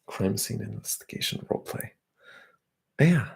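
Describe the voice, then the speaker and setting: Gravelly, breathy whispering man. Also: lowish voice, expressive, normal speed. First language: Bulgarian. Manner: soft-spoken, drifting into whispers. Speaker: ASMR streamer. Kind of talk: ASMR livestream chat, reading comments.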